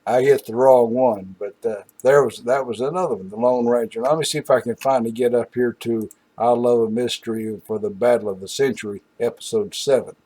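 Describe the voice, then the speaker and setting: A man's voice: mid-range voice, steady tone, brisk, few pauses, dark tone, breathy edge, rough recording. A man hosting a radio show with casual, folksy, meandering and hesitant commentary.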